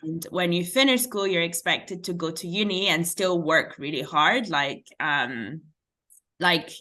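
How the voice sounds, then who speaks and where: A young woman from France, her accent a hard-to-place blend of French and British, speaks in a slightly low, bright voice. She muses casually as an interview guest, filling pauses with "like" and "um.". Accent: mixed French and British. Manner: reflective, frequent fillers like "like" and "um".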